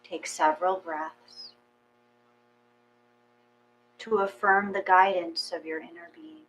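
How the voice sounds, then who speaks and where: Slow, calm woman guiding meditation. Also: fairly low pitch, rough recording. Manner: slow, calm, measured reading with long pauses. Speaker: meditation guide. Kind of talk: guided meditation reading. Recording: faint mains hum.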